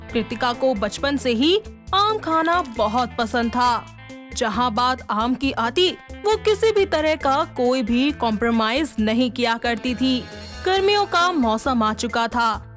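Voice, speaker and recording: High-pitched, unhurried woman storyteller. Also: a bit bright. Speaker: narrator. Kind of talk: scripted storytelling narration.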